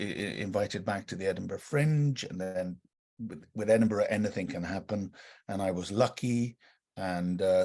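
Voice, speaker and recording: Man, middle-aged, slight welsh lilt, husky, lowish voice, rambling anecdotes, lots of ums. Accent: slight Welsh lilt over London English. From North London, England. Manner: rambling storyteller, many fillers and restarts. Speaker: guest actor and director. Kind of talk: relaxed anecdotal podcast interview.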